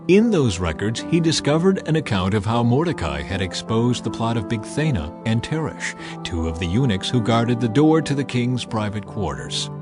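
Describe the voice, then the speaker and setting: A man's voice: medium pitch, big pitch swings, moderate pace, few pauses. A man narrating a dramatized scripture audiobook in an emphatic, theatrical storytelling manner. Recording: music bed behind the voice.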